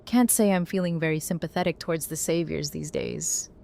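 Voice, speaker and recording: A woman's voice: low-pitched, fairly quick, vocal fry, dark tone. A woman playing a blunt, battle-worn apocalypse survivor in a naturalistic audio drama. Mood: candid.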